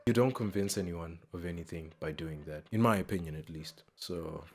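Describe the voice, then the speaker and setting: A man hosting a reaction video with casual spoken commentary, conversational and expressive, repeating phrases for emphasis. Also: sits low, brisk, a little hiss or hum.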